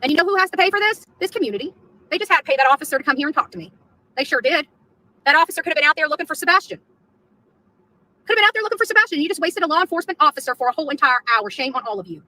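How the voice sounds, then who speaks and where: Combative lady ranting live, high sharp voice, taunts and swears, says stuff twice. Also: lively intonation, rapid-fire, low-quality recording. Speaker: activist streamer in a played clip. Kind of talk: confrontational live rant.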